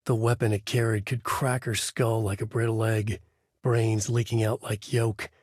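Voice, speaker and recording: A man's voice: fairly low pitch, level tone, normal speed, darkish tone, no room sound, clean studio sound, lightly acted. A man voicing a haunted male horror novelist, delivering grim noir-style inner monologue as game voice lines. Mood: brooding. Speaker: narrator.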